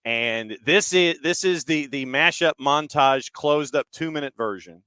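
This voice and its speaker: A man's voice: a little high, unhurried, pushed and bright, smooth, no echo, clean studio sound. A man hosting a sports radio show, talking casually as he sets up a clip.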